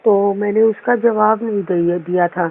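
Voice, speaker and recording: A woman's voice: medium pitch, fairly even intonation, normal speed, vocal fry. A woman speaking politely and hesitantly, with an apologetic, coy manner in a phone conversation. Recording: band-limited phone call audio.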